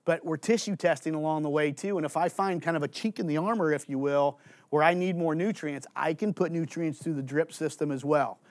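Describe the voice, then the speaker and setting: A man in his forties, a farm agronomist, giving an energetic conference presentation on a studio stage through a headset mic, asking and answering his own questions. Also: a little high, brisk, no echo. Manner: gestures and walks on stage while talking. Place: indoor studio stage with green curtains.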